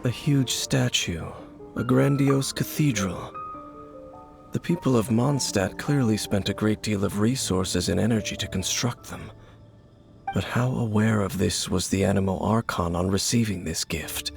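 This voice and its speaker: A grave, low-voiced mysterious swordsman. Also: creaky, music in the background. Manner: measured, solemn, terse, quietly cryptic. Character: mysterious, guarded wandering swordsman, grave and composed. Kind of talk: video game voice acting.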